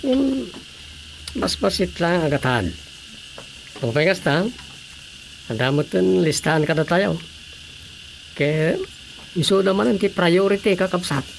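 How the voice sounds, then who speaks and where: A man's voice: high-pitched, lively intonation, unhurried, vocal fry, pauses often, slight background noise, heavily acted, low-quality recording. A man with an Ilocano accent acting a patient village council officer in an Ilocano radio drama comedy. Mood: patient, explaining.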